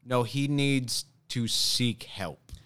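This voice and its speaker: A man's voice: medium pitch, drawn-out words, sharp tone, clean tone. A man hosting a podcast in casual comedic chat, with an old-school radio voice and a dry, wry manner. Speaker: podcast host.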